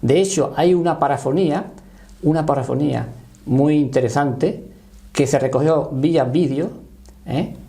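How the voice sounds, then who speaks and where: Gravelly older man from Spain. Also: on the high side, mellow. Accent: Spanish. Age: 60s. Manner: halting, often seeking confirmation. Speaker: interview guest, paranormal voice researcher. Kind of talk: conversational storytelling and explanation.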